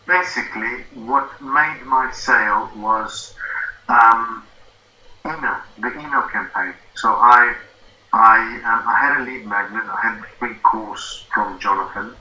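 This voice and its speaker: A man's voice: lowish voice, pushed and bright. South African man on a lo-fi call. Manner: hesitant, many fillers and restarts. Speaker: guest, affiliate marketing student. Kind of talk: casual interview over a call. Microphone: video call. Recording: lo-fi roomy call audio with faint noise.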